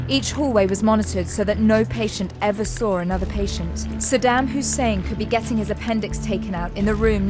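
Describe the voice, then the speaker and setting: Woman narrating drama over music. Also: medium pitch.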